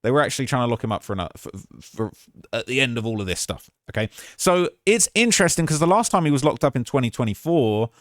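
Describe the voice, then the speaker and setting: Animated male commentator, close dry sound. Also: mid-range voice, fast talker, no echo. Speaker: commentary channel host. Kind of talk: casual reaction commentary on news clips.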